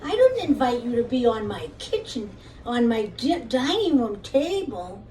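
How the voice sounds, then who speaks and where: A woman's voice: a little high, sing-song, measured pace, warm tone, echoey room, pitched below the performer's own voice. A woman voicing a stern but fair king and father in a children's puppet show, questioning with authority. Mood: indignant. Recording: distant mic with faint background noise.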